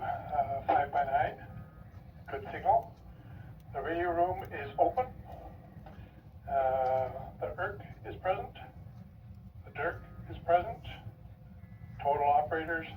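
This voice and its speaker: A man reads a formal radio report very slowly, one clipped item at a time. His slightly high voice comes through a dull radio link. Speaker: radio station operator. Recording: dull distant radio link, faint static.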